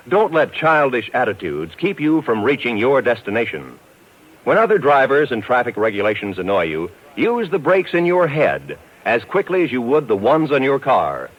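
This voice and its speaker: Male announcer, lively intonation, earnest admonishing delivery, dull lo-fi old radio sound. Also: medium pitch. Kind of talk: 1950s public service announcement. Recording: dull lo-fi vintage radio recording.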